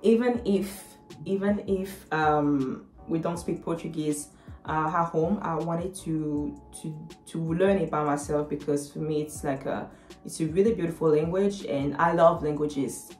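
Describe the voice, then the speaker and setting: A young woman in her twenties, a native French speaker with a French accent, chatting to camera with many fillers and pauses as she answers viewer comments. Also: deep voice, a little mellow, echoey room, faint music behind. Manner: hesitant, many fillers, switches into English. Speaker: vlogger. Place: small indoor room.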